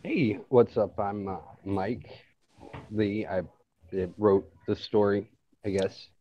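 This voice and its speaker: A man's voice: on the low side, steady tone, takes it slowly. A man from Louisiana chatting casually as a writer guest, hesitant and self-effacing, on dull lo-fi audio. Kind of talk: casual chat.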